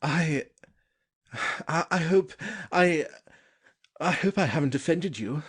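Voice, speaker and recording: Slow, husky, bashful young lord played by a man. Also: on the high side. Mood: apologetic, unhappy. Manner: halting, formal, polite. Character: shy, courteous young lord, lovestruck and hesitant. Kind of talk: stage-play audio drama acting.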